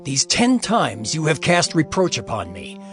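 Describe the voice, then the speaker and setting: A man's voice: on the high side, huge pitch swings, a little slow, fairly bright tone, heavily acted. An actor playing an afflicted, anguished man who laments and pleads against injustice in a dramatized scripture reading. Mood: bitter reproach. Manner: theatrical, impassioned, lamenting. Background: music.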